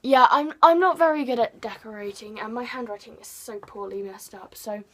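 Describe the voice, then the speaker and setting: A woman's voice: a little high, moderate pace, fairly bright tone. An English woman with an English accent chatting to camera about books in a rambling, playful, self-deprecating way. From England. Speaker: book vlogger. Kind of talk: casual chat to camera.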